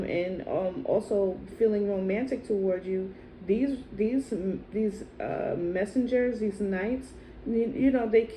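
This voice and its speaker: A woman with a low voice, doing a casual tarot reading in a soft, musing way and repeating phrases as she thinks. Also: slight background noise, rough recording. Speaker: tarot card reader.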